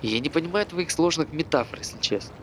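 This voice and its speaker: A man's voice: fairly high pitch, expressive, quick pace, a bit bright, a little hiss or hum. Grumbling, bitter man. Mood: grumbling, irritated. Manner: grumbling, conversational. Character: bitter, aggrieved man who hates dogs. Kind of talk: naturalistic stage play dialogue.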